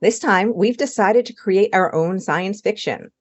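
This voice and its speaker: A woman's voice: medium pitch, measured pace, highs cut off. A woman co-hosting a podcast, delivering a scripted introduction. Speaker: podcast co-host.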